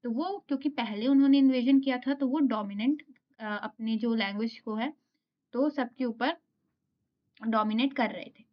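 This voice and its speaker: Indian woman teaching in a dull recording. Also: a little high. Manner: explains, reads English notes slowly, then repeats them. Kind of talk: exam lecture, reading notes aloud and explaining.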